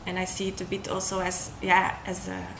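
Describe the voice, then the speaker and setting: A woman's voice: on the low side, completely flat delivery, a little fast, gravelly. Young belgian woman chatting about circus stuff, lots of ums, echoey room w/ background noise. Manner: hesitant, many ums and restarts. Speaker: circus artist. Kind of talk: casual interview talk.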